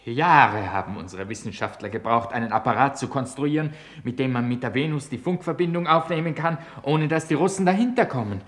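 An actor playing a prim, pompous, disapproving minister in a 1950s German radio play. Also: male voice, medium pitch, fairly quick, hardly any pauses, a bit bright, natural voice. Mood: pompous, warning. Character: disapproving, pompous male minister for extraterrestrial territories.

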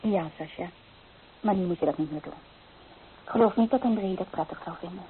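On a thin, hissy old radio recording, an actress plays an earnest, proper young bride-to-be, loyal and gently reproving. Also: female voice, fairly low pitch, fairly quick, narrow, tinny audio, lightly acted. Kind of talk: radio play acting.

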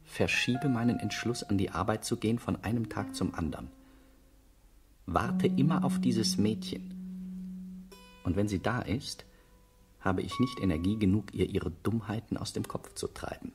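A man's voice: fairly low pitch, unhurried, lightly acted. An actor playing a brooding, lovesick and awkward man in a radio play, hesitant and poetic. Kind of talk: German radio play acting. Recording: guitar music behind the voice.

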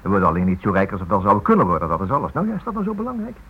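Hesitant husky writer husband. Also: male voice, fairly low pitch, wildly swooping pitch, full character voice. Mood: stubborn. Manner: hesitant, halting with repeated words. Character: idealistic writer husband, absent-minded, evasive yet stubborn. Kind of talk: radio play acting. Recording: thin phone-like old radio recording.

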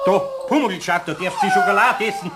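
A man's voice: extremely high pitch, huge pitch swings, fairly quick, very noisy, heavily acted. A man playing a kindly, flustered older master craftsman in a German radio play, with a Bavarian accent and a folksy, fussing delivery. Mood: soothing. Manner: folksy, fussing, repeating words.

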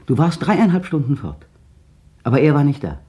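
An actor playing a tense, controlling husband in a 1960 German radio drama, clipped and insistent, sinking to a mutter. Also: male voice, a little high, wildly swooping pitch, normal speed, warm tone. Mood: interrogating. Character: tense, controlling young husband pressing his wife.

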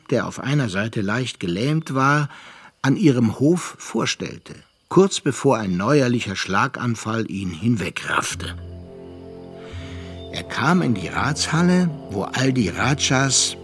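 A reflective male narrator in a radio drama. He speaks slowly and deliberately at a middling pitch, with music faintly behind him. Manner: measured, deliberate. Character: measured, reflective male storyteller recalling an acquaintance. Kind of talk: scripted literary narration in a German radio play.

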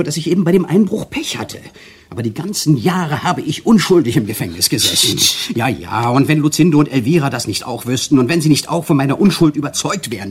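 An actor playing a smooth, self-pitying conman in a German radio drama, coaxing, intimate and theatrical. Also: medium pitch.

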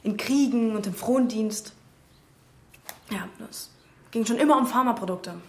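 A woman's voice: fairly high pitch, measured pace. A woman playing a clever, self-assured young student in a radio drama, matter-of-fact and articulate. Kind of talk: naturalistic radio drama acting. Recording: echoey room, faint noise.

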